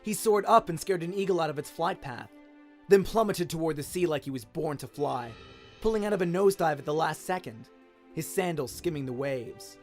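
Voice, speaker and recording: A man's voice: fairly high pitch, lively intonation, fairly quick. A man narrating a scripted story dramatically, in the manner of an audio drama. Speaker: narrator, voice actor. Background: music and sound effects.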